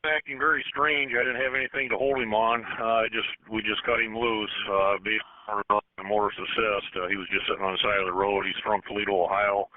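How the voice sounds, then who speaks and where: A male patrol officer calls in over police radio with a fairly low voice. The sound is thin and phone-like, with hardly any room around it. His phrasing is clipped and procedural, and he spells words out. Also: flat delivery, a little fast, hardly any pauses, dry recording. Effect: two-way radio.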